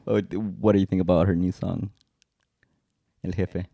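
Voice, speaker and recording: Deep-voiced young man, Spanish accent. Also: moderate pace, creaky, no echo, muffled recording. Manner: relaxed, hesitant with restarts, mixes in Spanish. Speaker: podcast co-host. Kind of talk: casual chat.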